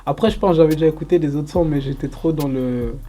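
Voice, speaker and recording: A young man from Congo answering interview questions casually, loose and relaxed, with lots of fillers. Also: fairly high pitch, fast talker, slight background noise. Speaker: rapper interviewee.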